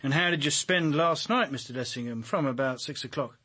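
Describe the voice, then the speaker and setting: An actor playing a composed police chief inspector in a radio crime drama, questioning politely and methodically. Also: male voice, mid-range voice, sharp tone, slightly rough. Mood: probing, polite. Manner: polite, probing, measured questioning.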